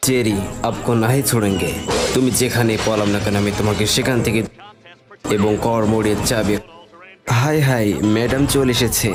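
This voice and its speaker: A man's voice: fairly low pitch, steady tone, natural voice. A man voicing a boastful, vengeful cartoon cat in a theatrical, gloating manner for a fan dub. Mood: dismayed. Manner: theatrical, gloating with drawn-out villain laughs. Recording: loud music under the voice.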